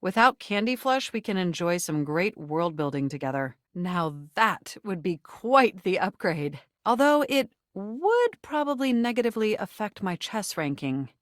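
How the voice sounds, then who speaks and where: Flirty, theatrical computer character, close and dry. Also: female voice, mid-range voice, sing-song, a little slow, hardly any pauses, dry recording. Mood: polite, measured. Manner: flirtatious, theatrical, formal tech-laden phrasing. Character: sentient flirtatious home computer in a dating game, nerdy and romantic. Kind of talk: video game character voice acting.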